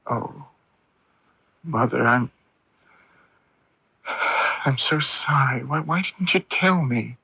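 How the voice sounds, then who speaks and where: An actor playing a gentle, troubled young son in a radio drama, hesitant and stumbling over repeated words, haunted and unsure of himself. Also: male voice, mid-range voice, takes it slowly, gravelly, pauses often, husky, no room sound, heavily acted, poor audio. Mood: tender concern. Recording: band-limited 1980s AM radio broadcast.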